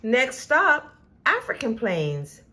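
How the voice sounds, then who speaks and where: A woman's voice: a little high, wildly swooping pitch, slow, pushed and bright, a little hiss or hum, heavily acted. A woman voicing a caring, take-charge female zookeeper in a children's storybook. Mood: calling out. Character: friendly, caring female safari park zookeeper. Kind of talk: children's storybook character reading.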